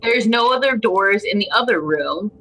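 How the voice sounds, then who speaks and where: A woman's voice: medium pitch, expressive, moderate pace, sharp tone, a little hiss or hum, poor audio. A woman chatting casually as a guest player in an improvised story game, talking through her moves. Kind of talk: casual chat while playing a game.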